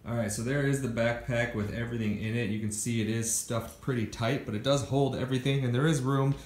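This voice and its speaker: A man's voice: medium pitch, steady tone, a little fast, darkish tone. A young man casually talking through his hiking gear, listing items with lots of ums and restarts. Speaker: hiker showing his gear. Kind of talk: casual gear walkthrough voice-over. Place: indoors at home, filming gear on a floor.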